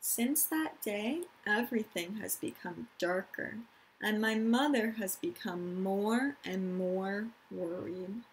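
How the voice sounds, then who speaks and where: A woman's voice: mid-range voice, slow, a little hiss or hum, rough recording. A woman, a teacher of young children reading aloud and explaining things simply, stressing key words. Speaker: elementary school teacher.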